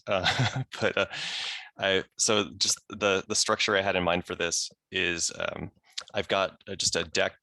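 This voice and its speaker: A man with a low, gravelly voice walks through a technical presentation. He sounds crisp and bright, recorded close and dry, and keeps the explanation casual with little asides. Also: no echo. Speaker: software engineer presenting. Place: remote video call.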